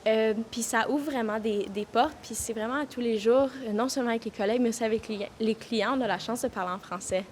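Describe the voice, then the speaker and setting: A woman's voice: a little high, quick pace, hardly any pauses, darkish tone, a little hiss or hum. A young woman law student, giving an earnest vox pop answer full of fillers.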